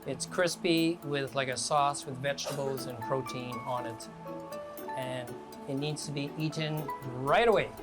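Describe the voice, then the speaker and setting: Relaxed man demonstrating a recipe. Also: mid-range voice, a little slow, over music. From Canada. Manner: relaxed, step-by-step instructive. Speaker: guest chef. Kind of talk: home cooking demonstration.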